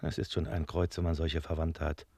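An actor playing a blustering, scolding but soft-hearted innkeeper in a German radio drama, indignant and exclamatory. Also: male voice, a bass voice, little pitch movement, brisk, vocal fry, dry recording, exaggerated voice. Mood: relenting, pleading.

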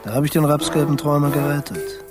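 A man voicing a timid but kindly father mouse, telling his story chattily in short, animated fragments in a children's radio play. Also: a little high, quick pace, close to an everyday voice. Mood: chatty, confiding. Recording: music behind the voice.

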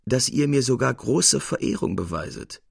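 A man's voice: medium pitch, expressive, normal speed, creaky, over music. A man voicing a skeptical, weary older master painter in an audiobook, with emphatic, formal, old-fashioned speech. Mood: weary, puzzled. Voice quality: weak, plaintive when ailing.

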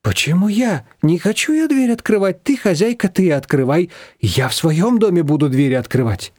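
A man voicing a calm, stubborn woman in a storybook, talking in plain, brisk objections. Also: high-pitched, no room sound, clean studio sound, pitched above the performer's own voice. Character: calm, stubborn woman, dryly matter-of-fact.

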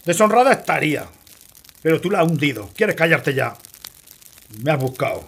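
A man's voice: on the high side, very animated, moderate pace, creaky. A man from Spain acting an embittered, vengeful rural man in a radio play, terse and brooding. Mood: angry accusing. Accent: Spanish, from Spain.

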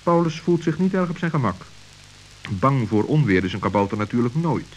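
Male storyteller: mid-range voice, measured and dramatic, scripted children's tale, slight tape hiss. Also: medium pitch, lively intonation, rough recording. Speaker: narrator. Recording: hissy old radio recording.

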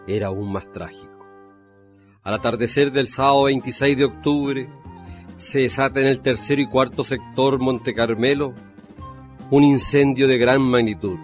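A man narrates a radio drama from a script. His medium-pitched voice is solemn and measured, with music playing faintly underneath. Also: fairly even intonation.

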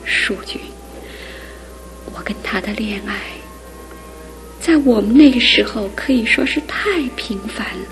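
An actress playing a middle-aged aunt in a radio drama, speaking softly and often in a hushed whisper. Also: female voice, fairly high pitch, lively intonation, measured pace, pauses often, soft background music, low-quality recording. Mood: sorrowful. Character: aging aunt, gentle, grieving an old love.

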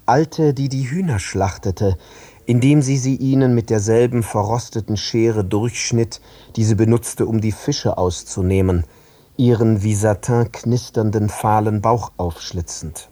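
A man narrating scripted literary prose in an audio drama, phrase by measured phrase. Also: fairly low pitch, warm tone, dry recording.

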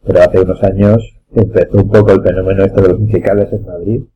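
A man's voice: low voice, little pitch movement, quick pace. A man with an accent from Spain, chatting casually as a panelist in a group podcast. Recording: muffled, noisy with hum.

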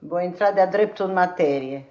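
A woman's voice: on the low side, a little mellow, natural voice. Brusque elderly great-aunt, played by a woman, dull old recording. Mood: brisk, formal. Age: older. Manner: brusque, commanding, dryly sarcastic. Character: stern, blunt elderly English great-aunt, protective. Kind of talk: 1950s radio theatre acting. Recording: dull 1950s radio archive recording.

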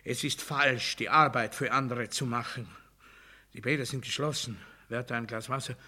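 An actor playing a delusional, commanding demagogue in a cell, declaiming rhetorically in a German radio play. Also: male voice, mid-range voice, fairly even intonation, moderate pace. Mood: muttering, sinister. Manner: declamatory, rhetorical, swinging between hushed muttering and loud oratory.

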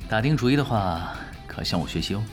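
A man playing a nonchalant, confident young pro gamer in a Chinese radio drama, teasing and quietly assured. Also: lowish voice, lively intonation, fast talker, natural voice. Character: laid-back, confident young male pro gamer who ran away. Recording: music behind the voice.

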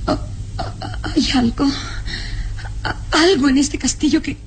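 A woman's voice: high voice, slow. A woman playing a frightened young noblewoman in radio melodrama, hesitant and anxiously repeating herself over music. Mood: anxious, self-reassuring. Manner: hesitant, breathless exclamations and anxious repetitions. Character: frightened, beautiful young noblewoman struggling to reason away fear. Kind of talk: old Latin American radio serial melodrama. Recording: music behind the voice.

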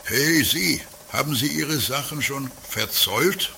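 A man's voice: medium pitch, lively intonation, slow, vocal fry, pushed and bright, exaggerated voice. A man playing a dry, bureaucratic customs officer in an amateur comedy radio play. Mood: officious. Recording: slightly noisy 1980s radio play tape.

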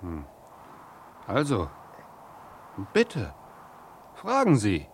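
Impatient young gentleman, deep voice. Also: huge pitch swings, extremely slow, full character voice. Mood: strained politeness. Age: young adult. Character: impatient young gentleman, stiffly polite, irritably waiting. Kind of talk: 1960s German radio play acting. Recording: noisy vintage radio drama recording.

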